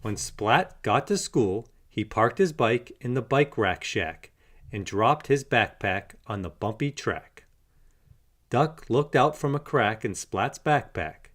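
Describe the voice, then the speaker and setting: Slow, animated male storybook narrator. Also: mid-range voice. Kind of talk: children's read-aloud narration.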